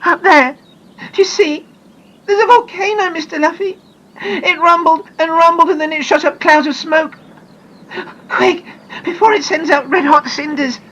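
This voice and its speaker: A woman voicing a frightened, excitable young girl who cries out in shrill, breathless panic and pleads for help, with soft music behind. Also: normal speed, pauses often, low-quality recording. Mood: urgent.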